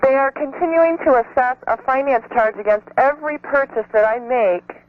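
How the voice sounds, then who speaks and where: A woman's voice: sits high, normal speed, soft background music. A woman calling a radio show by telephone, methodically explaining her question. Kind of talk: explaining a personal question on air. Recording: dull telephone line on radio.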